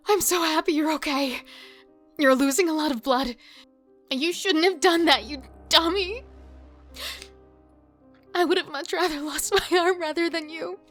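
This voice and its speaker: A high, tense female voice from an actress as a wary killer in a horror audio drama. She is devoted but seething, delivering first-person narration and dialogue with strong emotion, over clearly audible music. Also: measured pace, long pauses, a bit bright. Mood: relieved, worried.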